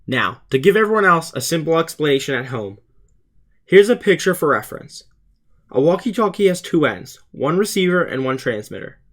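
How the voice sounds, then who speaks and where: A man's voice: on the high side, big pitch swings, normal speed, fairly bright tone. A teenage male student narrating a scripted explainer for his video channel, earnest and plainly presented. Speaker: student YouTuber presenting a school project.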